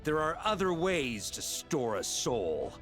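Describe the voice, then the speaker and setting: Game hero knight: noble, confident, deliberate and slow, highly animated intonation, light music behind. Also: male voice, full character voice. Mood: knowing. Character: resolute noble male knight, confident and fervent.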